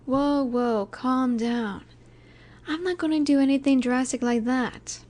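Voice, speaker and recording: Soft, mellow, high-voiced female mafia boss acted by a woman. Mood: calm, reassuring. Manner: soft, unhurried, soothing yet in command. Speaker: audio roleplay voice actor. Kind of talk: intimate scripted audio roleplay, addressed to listener.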